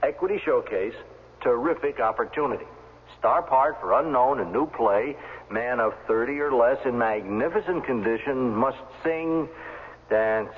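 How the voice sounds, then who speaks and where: A male actor plays an insistent, encouraging friend in a vintage radio drama. He speaks emphatically at a medium pitch, through thin phone-like sound with a reverberant tail. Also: a little slow, slight background noise, lightly acted. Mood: eager, insistent. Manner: emphatic, coaxing. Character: eager, persuasive male friend pushing a job lead. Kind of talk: 1970s radio drama acting, reading out notices. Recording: phone-like, echoey old radio recording.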